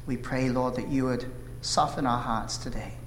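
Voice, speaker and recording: A man in his thirties from South Korea, whose first language is Korean, preaching a sermon with an earnest, measured delivery and frequent "uh" fillers. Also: medium pitch, fairly even intonation. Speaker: pastor. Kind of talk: sermon with opening prayer. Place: church sanctuary. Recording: echoey hall reverb, faint room noise.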